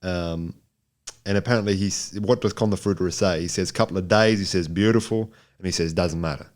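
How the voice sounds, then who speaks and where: A man's voice: sits low, steady tone, a little fast. A man co-hosting a podcast, giving a casual film review in a rambling, jokey way and repeating himself for emphasis. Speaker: podcast co-host.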